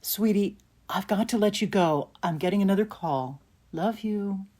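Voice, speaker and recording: A woman's voice: mid-range voice, warm tone, natural voice. A woman playing a caring, worried older mother on the phone in an audio play, speaking with affection and sympathy. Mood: guarded, affectionate. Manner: affectionate, sympathetic, reacts with drawn-out small phrases. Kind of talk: audio play acting, one side of phone call.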